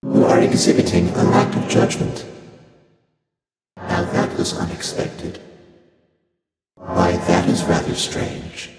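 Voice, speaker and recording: A slow deep cosmic god for a game, super formal and detached, huge reverb, loud music under it. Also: male voice, big pitch swings, full character voice. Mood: detached, dry. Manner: formal, archaic, deliberate, aloof phrasing. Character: ancient, otherworldly primordial deity, detached, formal, wryly amused. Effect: heavy otherworldly echo reverb. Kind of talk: video game character voice lines. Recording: cavernous long reverb, loud music behind.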